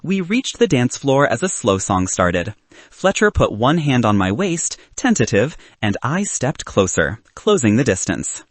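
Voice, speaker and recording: A man with a slightly husky voice narrating a romantic comedy story from a script. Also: medium pitch, sing-song, a little slow, no echo. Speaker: audiobook narrator.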